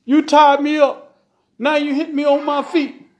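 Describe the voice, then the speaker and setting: Woman acting out a high, hollering bullying husband. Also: level tone, measured pace, a little mellow, slight background noise. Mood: angry, threatening. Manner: hollering, whining protests. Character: bullying abusive husband, tied up, pleading in pain. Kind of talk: acted oral storytelling.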